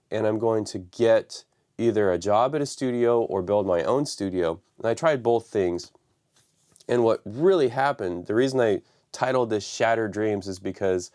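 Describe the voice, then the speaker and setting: A man hosting a live stream from his home studio, raspy from the flu and talking loosely with many fillers. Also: on the low side, darkish tone. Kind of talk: casual live stream talk.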